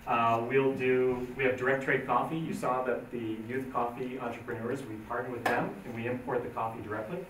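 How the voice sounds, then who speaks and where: A man's voice: medium pitch, flat delivery, brisk, few pauses. A man leading a program info night, explaining plans in an upbeat, informal way. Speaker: program leader. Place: school meeting room. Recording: echoey room, distant mic, faint noise.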